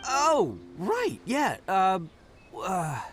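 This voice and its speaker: A man's voice: high-pitched, huge pitch swings, drawn-out words, loud music behind the voice, full character voice. A man voicing a brash but good-hearted young oni gang boss in a video game, slangy and swaggering. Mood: sheepish bravado. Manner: slangy, swaggering, stammering when flustered.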